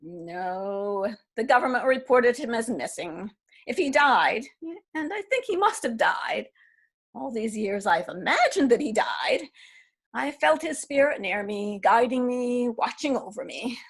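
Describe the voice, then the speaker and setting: Husky, somewhat high-voiced woman reading an aging romantic lady, wistful, for a stage reading. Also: big pitch swings, a little slow, heavily acted. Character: aging woman pining for a lost love. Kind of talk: readers theatre reading.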